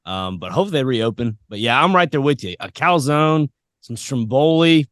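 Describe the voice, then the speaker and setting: A man's voice: medium pitch, animated, moderate pace, fairly bright tone, dry recording, clean studio sound. A man hosting a podcast in a casual, informal, anecdotal chat style. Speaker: podcast host.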